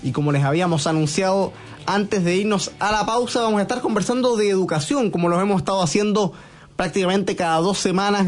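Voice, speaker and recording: A Chilean man hosting a radio program, chatting and asking a guest questions with a relaxed, conversational manner. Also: high voice. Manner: warm, chatty questioning with fillers. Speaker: radio host. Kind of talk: radio interview.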